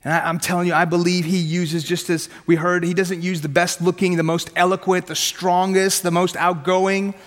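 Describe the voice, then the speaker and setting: Man, 30s, Farsi first language: brisk, chatty preacher, voice a touch high for a man, long hall echo. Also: level tone, a bit bright. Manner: conversational and punchy, asks questions, jokes at himself. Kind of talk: sermon with personal testimony.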